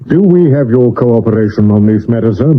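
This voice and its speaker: A man's voice: medium pitch, expressive, close to an everyday voice. Man playing a dour Victorian detective. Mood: grave, businesslike. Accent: British. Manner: measured, formal, terse. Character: sober, dour Victorian Scotland Yard detective. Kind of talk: 1970s British radio drama acting. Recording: dull old medium wave radio recording.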